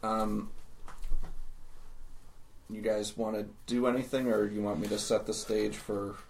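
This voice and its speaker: A man running a tabletop game, chatting casually with joking asides and hesitant pauses, and narrating scenes. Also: fairly low pitch, little pitch movement, gravelly, darkish tone. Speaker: game master. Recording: faint background noise.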